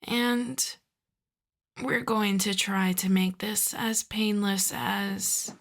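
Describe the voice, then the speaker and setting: A woman's voice: mid-range voice, fairly even intonation, very slow. A woman playing an exasperated but courteous mafia boss in a roleplay audio drama, wry, sardonic and conversational. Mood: wry, apologetic. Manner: wry, sweary, conversational, addresses listener as darling.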